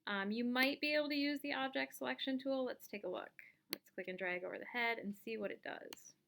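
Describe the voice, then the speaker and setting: Bright-voiced woman teaching, some background noise. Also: a little high, a little fast, gravelly. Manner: informal, instructional, thinking aloud while demonstrating. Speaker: instructor giving a software tutorial. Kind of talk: casual step-by-step screen-recorded lesson.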